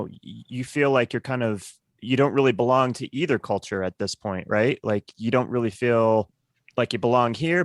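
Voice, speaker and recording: A man co-hosting a podcast, speaking in a relaxed, empathetic way with stumbling restarts. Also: medium pitch, dry recording, clean studio sound. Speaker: podcast co-host. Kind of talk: conversational interview.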